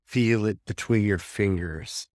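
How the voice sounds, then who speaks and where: Man, meditation guide, slightly deep voice, very slow pace, short phrases with pauses, gently instructing, dry sound. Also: no room sound.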